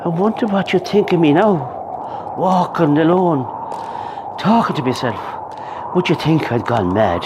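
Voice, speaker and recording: Man acting a grieving Irish widower, animated, noisy backdrop. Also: a little high, measured pace, long pauses. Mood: wry, wistful. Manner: rambling, conversational, emotive. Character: grieving old Irish widower, wry, talking to himself. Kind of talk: radio play acting.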